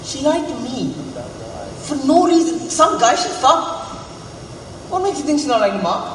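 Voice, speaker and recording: A man's voice: very high voice, animated, normal speed, a bit bright. An actor in a stage play playing a crude, cocky womanizer who needles others and gets defensive. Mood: heated. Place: theatre stage, recorded from far off. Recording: echoey hall, distant mic, faint noise.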